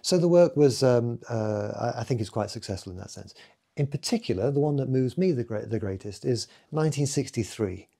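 Man, late fifties, gravelly, husky, reflective, hesitant, lots of fillers, recorded talk at home. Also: mid-range voice, lively intonation, brisk. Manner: reflective, hesitant, many fillers, trailing off softly. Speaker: photographer giving a recorded festival talk. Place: home room with bookshelves, indoors.

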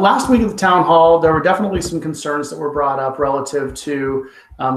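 A middle-aged man in his forties speaking as a school principal at an online town hall, talking conversationally with frequent ums and restarts over a laptop webcam at home. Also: on the high side. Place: home room with wall clock. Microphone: laptop webcam on video call. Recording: echoey room on video call.

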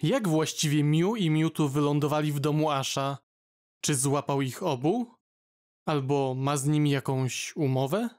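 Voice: medium pitch, measured pace, a little mellow. A narrator reading out fan questions in a plain, questioning tone. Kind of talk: fan comic dub.

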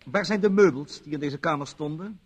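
An actor in a Dutch radio play as a cocky bon vivant, insistent and mocking. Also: male voice, on the high side, fairly quick, husky. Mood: mocking, confronting. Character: cocky bon vivant man, a suspect protesting his truth.

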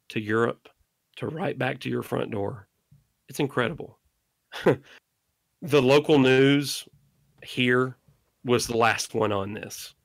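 Gravelly-voiced man, earnest and impassioned. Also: mid-range voice, no echo. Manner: impassioned, exhorting listeners. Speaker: podcast guest. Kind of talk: earnest interview monologue.

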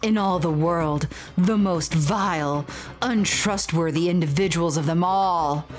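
Woman as a slow, scornful hostile spirit. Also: fairly low pitch, faint music behind, close to an everyday voice. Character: hostile, contemptuous, foul-mouthed spirit. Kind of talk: reading horror game dialogue aloud.

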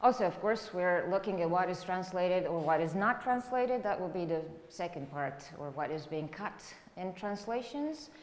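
A woman's voice: lowish voice, expressive, moderate pace, few pauses. Serbian-accented woman lecturing in an echoey room. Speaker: university lecturer. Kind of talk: academic lecture, spoken freely. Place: indoor lecture room, standing by a lectern.

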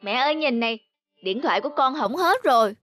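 A woman's voice: high voice, lively intonation, a little fast, a bit bright. A woman playing an emotional teenage daughter in a cartoon, devoted to her mother but easily ashamed. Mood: whiny, complaining. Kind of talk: cartoon voice acting.

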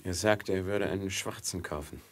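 An actor playing a proud, bitter enslaved man of about thirty in a 1950s radio drama, husky and brooding. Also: sits low, normal speed, close to an everyday voice. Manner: brooding, pleading.

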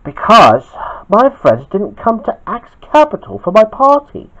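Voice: mid-range voice, wildly swooping pitch, measured pace, fairly bright tone, voice pushed up. A performer voicing a cross, sad, bossy boy in a children's story, with emphatic exclaiming delivery. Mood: anxious, sad. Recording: dull lo-fi recording.

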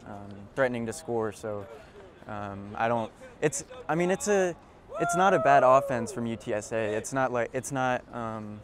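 Young man musing over a football game. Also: medium pitch. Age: young adult. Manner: thinks aloud with many restarts and ums. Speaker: co-host and sports editor. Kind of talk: postgame sports analysis chat. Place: outdoors in a big empty stadium at night. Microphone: lapel mic.

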